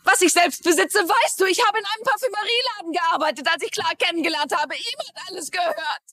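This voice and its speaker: An actress playing a desperate jailed widow in a TV crime drama, agitated and pleading. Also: female voice, very high voice, animated, fairly quick, bright, forceful tone, exaggerated voice. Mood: incredulous. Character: distraught jailed young widow, shrill and desperate. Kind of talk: German-dubbed television crime drama.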